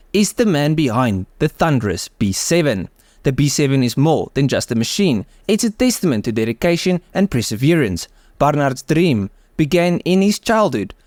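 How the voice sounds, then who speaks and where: A man's voice: a little high, darkish tone, no echo, studio quality. A man with a South African accent narrating a car video, enthusiastic and mixing scripted lines with offhand asides. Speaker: car channel host.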